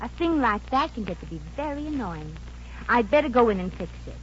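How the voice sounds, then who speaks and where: A high, swooping voice as a brash American hotel chambermaid in a vintage radio comedy. She pesters breezily in slangy chatter. The old recording is very noisy. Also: sing-song, brisk, a bit bright, dull audio. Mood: nosy, helpful.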